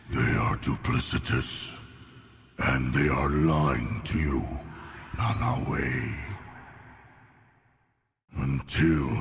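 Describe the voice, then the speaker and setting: A man's voice: very deep voice, gravelly, a bit bright, exaggerated voice. A man playing a menacing, scornful sea ruler in a video game, theatrical, condescending and bitterly reproachful, in a long cavernous reverb. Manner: slow, theatrical, condescending, accusing. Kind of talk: video game villain dialogue.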